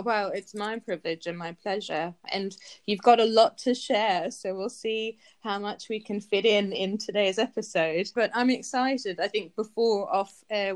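A woman hosting a podcast, reading a gentle scripted introduction and then asking friendly questions. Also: medium pitch. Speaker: podcast host.

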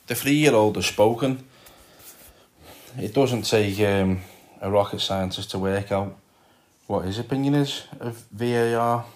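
A man's voice: on the low side, unhurried, darkish tone. A man with a Liverpool accent hosting his own podcast, chatting casually and rambling with frequent ums and repeated words. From Liverpool, England. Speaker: podcast host. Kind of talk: casual solo podcast talk.

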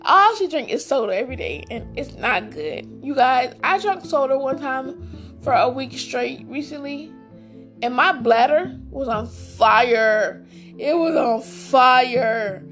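A woman with a raspy, hoarse voice hosting a casual solo podcast, chatty and enthusiastic, often calling her listeners "guys". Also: high-pitched, lively intonation, slow, a bit bright, faint music behind. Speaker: podcast host.

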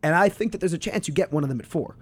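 A man with a hoarse voice giving quick, assertive analysis in a casual sports debate. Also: fairly high pitch. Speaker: sports podcast co-host.

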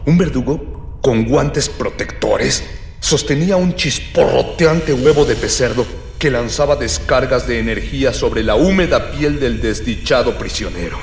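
A man's voice: medium pitch, lively intonation, measured pace, gravelly, slight background noise. An actor playing a florid ex-policeman who narrates a radio serial in a grandiloquent, mock-archaic manner. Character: florid ex-policeman narrator, male companion of the hero. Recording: reverberant, music underneath.

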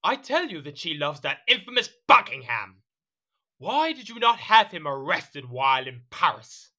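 A man's voice: on the high side, very animated, normal speed, sharp tone, exaggerated voice. An actor playing a petulant, jealous French king in a period audio drama, speaking in an imperious, indignant, theatrical manner.

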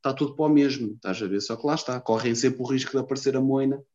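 A Lisbon-accented man in loose, rambling chat, heavy with slang and swearing. His voice sits at an ordinary pitch. The recording is dull and lo-fi. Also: very fast, dark tone. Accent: Lisbon Portuguese. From Lisbon, Portugal. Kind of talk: casual chat. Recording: dull lo-fi sound.

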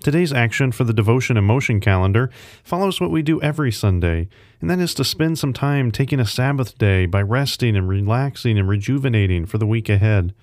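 A man's voice: medium pitch, animated, fairly quick, a little mellow, no room sound, studio quality. A man hosting a devotional podcast, reading scripted announcements and introductions.

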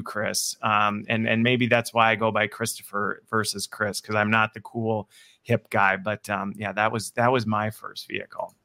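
Chatty male host for a live video call, bright tone. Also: mid-range voice. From American Midwest. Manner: upbeat, repeats okay and all right often. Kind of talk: casual live webinar chat.

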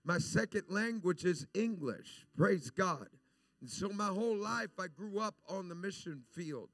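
Man, preacher, native Spanish speaker, sermon, says amen often, high pitch, dry sound. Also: expressive, a little slow, fairly bright tone, dry recording. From El Salvador. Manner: peppers sentences with "amen" and "praise God". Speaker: guest preacher. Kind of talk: Pentecostal sermon.

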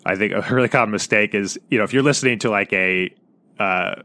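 Low, bright-toned man hosting an interview. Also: fast talker. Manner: engaged, adds his own anecdotes. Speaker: podcast host. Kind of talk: conversational interview.

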